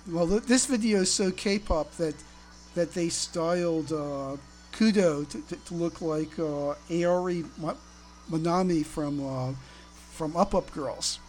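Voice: medium pitch, takes it slowly, darkish tone, soft background music. A fan podcast co-host explaining things casually, stammering and repeating words.

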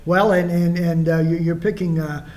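A man hosting a radio interview at a banquet, asking questions in a halting way with many restarts. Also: a little high, little pitch movement, darkish tone, a little hiss or hum. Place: banquet hall.

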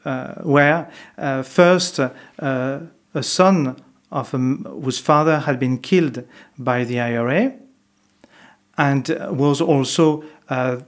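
A man's voice: medium pitch, slow. A man from France with a French accent, a monk telling stories in an interview, hesitant with many fillers and restarts. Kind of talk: reflective interview storytelling.

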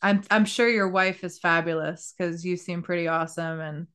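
A woman's voice: fairly low pitch, steady tone, moderate pace, a bit bright, clear, resonant tone. A woman hosting a podcast interview, upbeat and effusive, laughing often as she chats. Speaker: podcast host. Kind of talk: casual interview chat.

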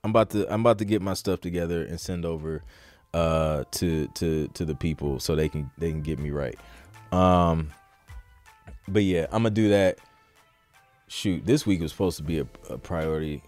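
A man's voice: deep voice, normal speed, darkish tone, faint music behind. An American man hosting a podcast, chatting casually through a live Q&A in an informal, slangy, rambling way. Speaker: podcast host.

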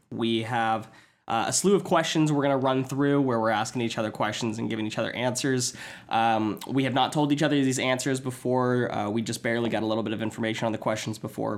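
A man in his twenties from the US South, casually introducing an episode of a friends' podcast with easygoing fillers. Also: medium pitch. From born in Georgia, raised in Tennessee. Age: 20s. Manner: relaxed, with many fillers. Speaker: podcast host.

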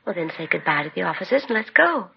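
A woman's voice: on the low side, sing-song, quick pace, vocal fry, full character voice. An actress playing a domineering, fussing middle-aged mother in a 1950s radio drama. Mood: brisk, controlling. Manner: fussing, imperious, cooing over her son. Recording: muffled, noisy 1950s radio broadcast with clicks.

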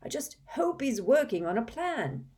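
An earnest middle-aged wizard father explains grave news, his voice lifting higher and a touch louder than the narration, as a woman reading the story puts him on. He sounds firm and concerned. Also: big pitch swings, a little slow, breathy edge, heavily acted.

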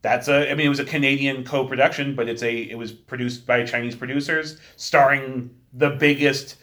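A man's voice: mid-range voice, steady tone, normal speed, pushed and bright. A man co-hosting a podcast, chatting casually about films and shows and explaining his opinions in detail. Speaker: podcast co-host.

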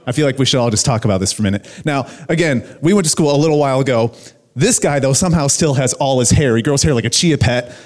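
A man's voice: mid-range voice, fast. An American man, a guest pastor, preaching conversationally to students in a casual, joking way with youthful slang. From United States, Illinois.

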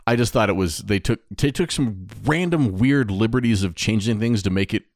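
A man's voice: fairly low pitch, fairly quick, no echo, studio quality. A man co-hosting a podcast, talking casually in an informal, conversational manner. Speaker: podcast co-host.

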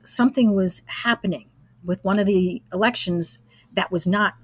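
A woman's voice: medium pitch, normal speed, no echo. An American woman in her sixties from Brooklyn, chatting casually and easygoing as she tells anecdotes as an interview guest. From Brooklyn, New York, USA. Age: 60s. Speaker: interview guest, former college radio engineer. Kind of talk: casual reminiscing.

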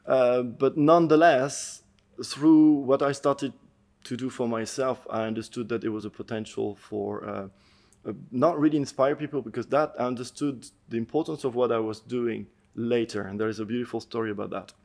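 A middle-aged man from France, a podcast guest telling his story in an earnest, thoughtful way, often pausing to find his words. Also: mid-range voice, steady tone, darkish tone. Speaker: podcast guest, endurance athlete. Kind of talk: reflective storytelling interview.

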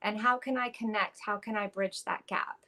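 A woman actor talking earnestly and warmly in an interview, often restarting her sentences. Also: medium pitch, fairly even intonation, moderate pace. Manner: earnest, heartfelt, with frequent restarts and fillers. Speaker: actress guest. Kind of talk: casual interview talk.

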